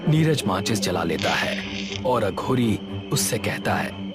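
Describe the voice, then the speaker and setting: A man narrating a scripted horror story in a measured, dramatic way. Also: on the low side, big pitch swings, over loud music.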